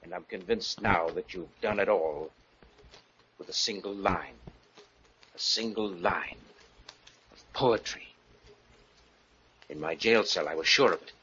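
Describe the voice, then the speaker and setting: An actor playing a tormented professor husband, obsessed and bewildered, in an old radio thriller with intense, deliberate dramatic phrasing. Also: male voice, deep voice, bright, forceful tone, a little hiss or hum. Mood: agitated. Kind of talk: 1940s radio thriller acting. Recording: dull, muffled old radio recording.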